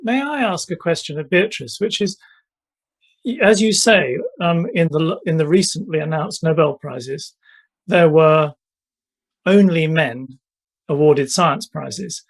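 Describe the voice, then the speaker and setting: A man's voice: fairly high pitch, a little slow. A man moderating a discussion panel, asking a polite and hesitant question.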